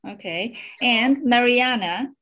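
Woman hosting in slow, simple English. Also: fairly high pitch, fairly bright tone. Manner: simple, slow non-native English with fillers. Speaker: show host. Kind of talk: hosting an online interview. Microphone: video call.

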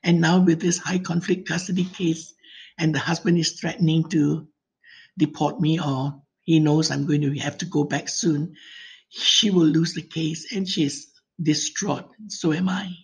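Older woman caller, breathy, pleading, anxious run-on voicemail. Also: low-pitched, flat delivery. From Malaysia. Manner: worried, pleading, rushed run-on telling. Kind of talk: phone voicemail. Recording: voicemail phone line.

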